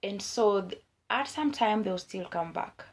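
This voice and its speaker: A young woman vlogger with a hoarse voice from a cold, giving earnest advice in a casual way, with many fillers. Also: mid-range voice, animated, measured pace. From Kenya. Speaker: YouTube vlogger.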